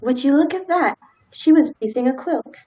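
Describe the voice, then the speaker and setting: Lady acting a timid dutiful small-town wife, radio play, higher voice, hesitant and folksy. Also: lively intonation, moderate pace, a little mellow, low-quality recording. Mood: nervous, hesitant. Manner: hesitant, folksy period speech.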